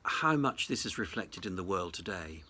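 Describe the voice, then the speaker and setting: A man, a cathedral dean, giving a reflective Easter message in measured, deliberate phrases. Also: low voice, expressive, pushed and bright.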